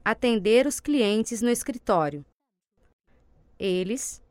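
A woman reading scripted language drill prompts as an instructor, with measured, deliberate enunciation. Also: fairly high pitch, expressive, background noise.

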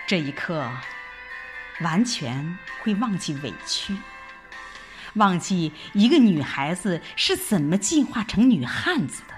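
A woman with a slightly low voice narrates an audio drama in the first person. Her delivery is very slow and reflective, with deliberate pauses and wide, expressive swings in intonation. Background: background music.

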